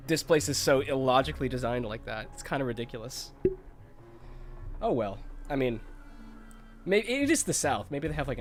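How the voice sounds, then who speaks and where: A congested man with a cold giving chatty, nostalgic commentary while streaming a video game, laughing often. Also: mid-range voice.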